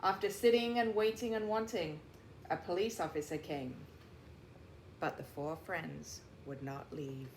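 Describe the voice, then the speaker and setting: Woman, lowish voice, slow emphatic storybook read-aloud, teacherly asides, echoey room, faint noise. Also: steady tone, a little mellow. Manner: deliberate, emphatic phrasing for children. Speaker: teacher reading a picture book aloud. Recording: roomy echo, faint background noise.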